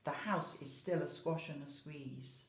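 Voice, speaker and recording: A woman's voice: sits low, measured pace. A British woman, an infant school teacher, talks to young children about a picture book, stressing key words and often checking in with tag questions. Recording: roomy, echoey home-style recording.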